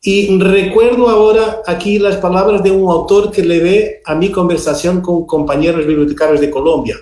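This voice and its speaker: A man whose first language is Portuguese, speaking slowly and hesitantly in Spanish and then Portuguese with a Portuguese accent, as a guest speaker on a panel. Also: high-pitched, fairly even intonation, darkish tone, reverberant. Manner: slow, deliberate, hesitant. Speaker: invited speaker, school librarian expert. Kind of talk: reading a text aloud in halting Spanish, then talking in Portuguese.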